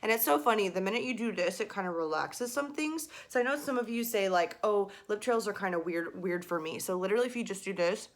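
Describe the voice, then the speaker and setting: A woman's voice: fast talker, few pauses. Chatty young woman voice teacher. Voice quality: slight gravel in her low register. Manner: chatty, filler-heavy, demonstrating exercises. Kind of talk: casual video lesson.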